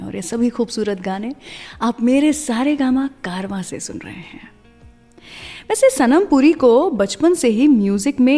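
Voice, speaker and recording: A woman's voice: fairly high pitch, mellow, big-room sound. An Indian woman presenting a scripted radio show, telling stories and addressing listeners directly, mixing in English phrases. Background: music.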